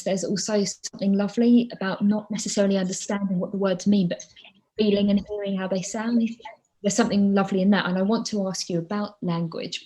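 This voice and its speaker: Host: woman, mellow mid-pitched voice, effusive and rambling, video call. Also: poor audio. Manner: effusive, rambling, with fillers and restarts. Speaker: interviewer and fellow poet. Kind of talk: conversational interview.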